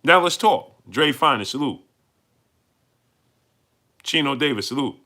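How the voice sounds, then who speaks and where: Man, New York accent, livestream host, bright forceful voice, repeats points, heated. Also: medium pitch, lively intonation, a little slow. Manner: emphatic, repeats lines, rises to heated peaks. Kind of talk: live-stream chat, reading super chats.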